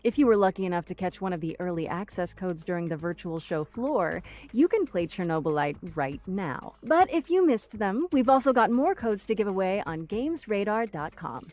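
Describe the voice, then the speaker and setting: A woman's voice: medium pitch, lively intonation, moderate pace, few pauses, a little mellow. A woman presenting a showcase from a script.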